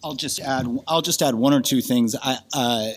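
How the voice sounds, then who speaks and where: A man co-chairing a conference session, adding brief off-the-cuff remarks in a halting way. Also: mid-range voice. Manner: halting, repeating words as he starts.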